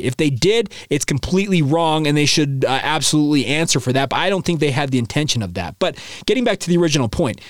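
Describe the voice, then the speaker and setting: A slightly hoarse man hosts a casual sports podcast, his voice sitting a bit high for a man. He talks excitedly, full of filler words and quick restarts, into a close mic with a dry, dead sound. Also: no room sound. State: slightly hoarse, coming down with a sore throat. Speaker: podcast host.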